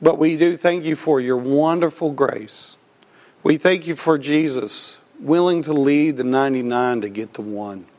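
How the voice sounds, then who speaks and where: A man preaching at an unhurried, deliberate pace, his voice slightly froggy as he recovers from a cold. His pitch sits a little high for a man. He reads scripture, prays, and tells stories from the pulpit. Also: darkish tone. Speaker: pastor. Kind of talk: sermon with prayer and personal story.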